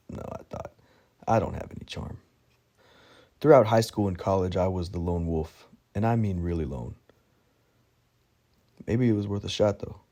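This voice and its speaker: Male audiobook narrator, low and mellow, dry self-deprecating wit. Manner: wry, self-mocking storytelling. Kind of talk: first-person audiobook narration.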